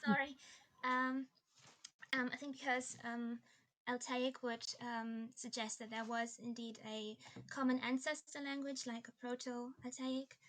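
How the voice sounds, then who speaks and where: A woman's voice: fairly high pitch, steady tone, measured pace, fairly bright tone. A woman student answering hesitantly and apologetically in an online class over a computer mic. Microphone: computer mic on video call.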